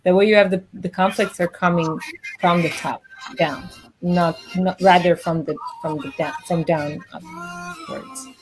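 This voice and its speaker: A woman from Israel hosting an interview podcast, chatty and animated, laughing easily. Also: on the low side, a little slow, faint music behind, poor audio. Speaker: podcast host. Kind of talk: casual interview chat.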